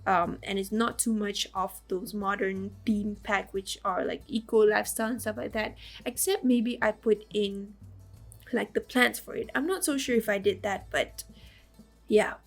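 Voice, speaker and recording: Hoarse woman with the flu, raspy and gravelly, chatty game voice-over, faint music behind. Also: medium pitch, fairly even intonation, normal speed. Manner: rambling, lots of fillers and small laughs. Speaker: game builder narrating a build. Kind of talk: casual voice-over commentary.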